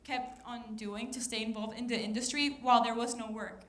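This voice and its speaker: Female student from Puerto Rico, high-pitched, asking a question in a noisy, reverberant room. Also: fairly even intonation, brisk. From San Juan, Puerto Rico. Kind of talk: fan question at a live Q&A. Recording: echoey room, clearly noisy.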